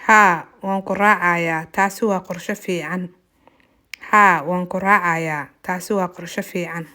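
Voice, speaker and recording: A woman's voice: fairly low pitch, fairly even intonation, unhurried, pushed and bright. A native Somali-speaking woman teaching a language lesson, translating phrases and explaining individual words.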